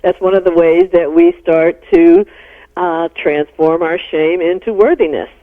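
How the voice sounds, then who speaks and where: A woman's voice: lowish voice, steady tone, measured pace. A middle-aged American woman explaining things as a psychologist guest in a radio interview. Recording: narrow-band phone-like radio sound.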